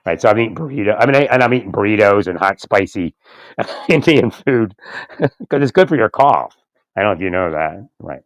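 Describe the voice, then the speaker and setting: Low, slightly raspy man rambling. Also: lively intonation, dry recording. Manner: halting, repeats words, chuckles often, asks "right?". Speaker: vlogger. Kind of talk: rambling casual monologue. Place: outdoors in winter. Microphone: phone.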